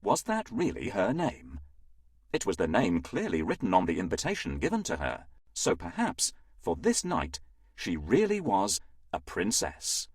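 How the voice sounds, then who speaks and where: A man reading a scripted children's fairytale as an expressive, dramatic storyteller. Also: sits low, a little slow, slightly rough, dry recording, clean studio sound.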